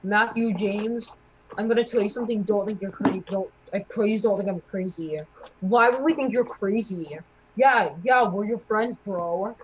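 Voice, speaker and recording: A woman acting student characters in an amateur game roleplay, halting and repeating phrases. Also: medium pitch, slight background noise, low-quality recording.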